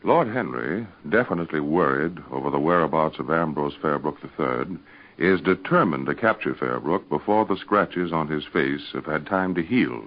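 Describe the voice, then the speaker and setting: An American man reading scripted narration and advertising as an old-time radio serial announcer, with emphatic, deliberate enunciation. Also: a bass voice, expressive. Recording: dull, band-limited vintage radio recording.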